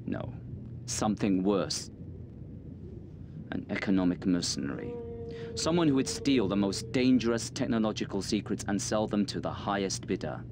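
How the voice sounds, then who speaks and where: An actor playing a guarded middle-aged intelligence colonel in a video game, with a formal, measured delivery. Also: male voice, fairly low pitch, expressive, noisy background. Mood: grave, persuasive. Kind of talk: dramatic video game dialogue.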